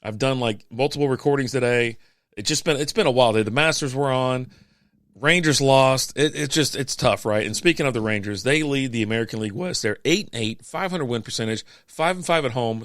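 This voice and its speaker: A man hosting a podcast, reading out sports standings in a casual, chatty way with offhand quips, his voice going hoarse. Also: mid-range voice, fairly bright tone, no echo, clean studio sound. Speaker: podcast host.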